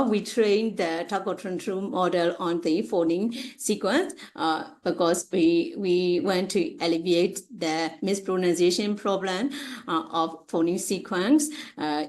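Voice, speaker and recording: Halting Burmese-accented woman, lowish voice. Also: darkish tone. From Myanmar. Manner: halting, hesitant delivery with frequent uhs. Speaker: conference presenter, researcher. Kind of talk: academic presentation.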